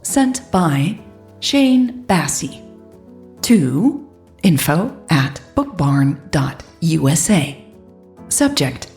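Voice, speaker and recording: Low, husky woman narrator with creaky edge. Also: darkish tone. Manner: reflective, confiding, measured. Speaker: narrator of a romantic story podcast. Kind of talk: scripted first-person story narration.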